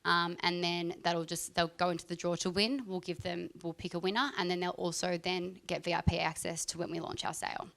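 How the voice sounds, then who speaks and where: Creaky, lowish-voiced woman on a panel. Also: level tone, brisk, few pauses, a bit bright. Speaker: panelist, eCommerce brand marketer. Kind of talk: casual panel discussion. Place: live event in front of an audience.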